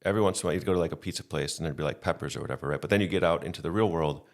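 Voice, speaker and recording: A middle-aged man from the American Midwest talks in a very deep voice. He wanders through his stories and often makes fun of himself. The talk is loose and chatty, like a podcast conversation. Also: rapid-fire. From Midwestern United States. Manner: rambling, self-deprecating storyteller. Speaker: podcast guest. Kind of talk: casual chat.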